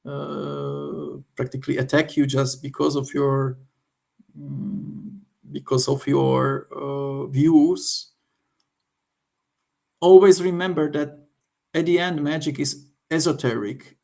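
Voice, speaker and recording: Man, Slovak accent, slightly high pitch, gravelly fry, halting, unscripted reviewer talk. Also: steady tone, a little mellow. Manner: halting, searching for words. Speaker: occult book reviewer. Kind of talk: informal unscripted review to viewers.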